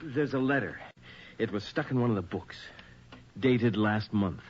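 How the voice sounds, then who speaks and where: Husky, earnest believer in the supernatural. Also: male voice, on the low side, a little slow. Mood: grave, uneasy. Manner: grave, explanatory. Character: earnest, uneasy investigator convinced of the supernatural. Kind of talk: radio drama acting. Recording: muffled old radio recording.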